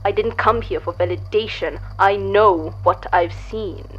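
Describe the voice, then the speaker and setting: A woman playing a cryptic, self-assured visitor in an audio drama, speaking in a measured, deliberate, portentous way. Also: medium pitch, dull audio, noisy background, close to an everyday voice. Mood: grave, certain.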